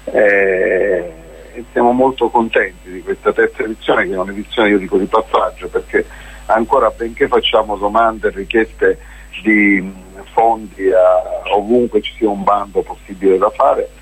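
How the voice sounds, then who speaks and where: Guy on a radio interview, kinda low husky voice, lots of ehs and restarts. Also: fairly even intonation, moderate pace, low-quality recording. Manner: rambling, hesitant, many fillers and restarts. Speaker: festival organizer, interview guest. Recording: radio broadcast.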